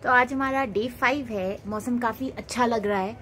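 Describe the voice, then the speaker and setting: A woman's voice: a little high, normal speed, fairly bright tone. A young woman from India vlogging a road trip, chatting casually and slipping English words into her Hindi. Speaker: travel vlogger. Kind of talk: casual travel vlog narration. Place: outdoors in the mountains and markets. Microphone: handheld phone camera at arm's length. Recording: noisy outdoor phone recording.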